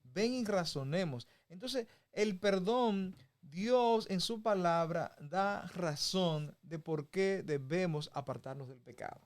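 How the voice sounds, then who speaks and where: Male preacher, somewhat high voice, folksy, conversational Bible teaching. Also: animated, slow pace, hardly any pauses. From Dominican Republic. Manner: folksy, with homey examples and chuckles.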